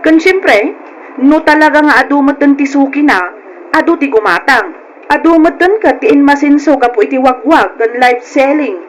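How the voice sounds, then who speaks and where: Radio drama: woman playing nosy, insistent Ilocano gossip; high, animated. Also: normal speed, slight background noise, close to an everyday voice, low-quality recording. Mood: gossipy.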